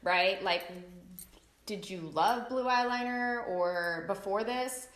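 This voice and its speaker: A middle-aged woman hosting a casual interview, chatty and playful with quick asides. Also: medium pitch, fairly bright tone. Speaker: brand founder and host. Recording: echoey room.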